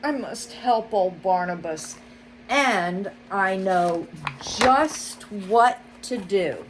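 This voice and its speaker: A woman voicing a timid, kind female rabbit who pleads in a high, fretful voice in a storybook reading. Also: lively intonation, very slow, a little hiss or hum, low-quality recording. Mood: distraught.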